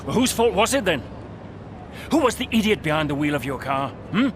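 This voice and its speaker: Male racing driver, scornful, bitter, very varied intonation, game drama, loud background noise. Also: sits high, very animated, a little fast. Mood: scornful anger. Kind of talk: dramatic game cutscene dialogue. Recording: loud outdoor and vehicle ambience.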